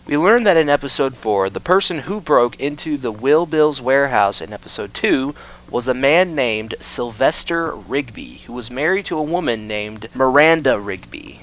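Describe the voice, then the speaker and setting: Male video essay narrator, average pitch, scripted storytelling delivery. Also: fairly bright tone, low-quality recording. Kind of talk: scripted explainer narration of a horror series.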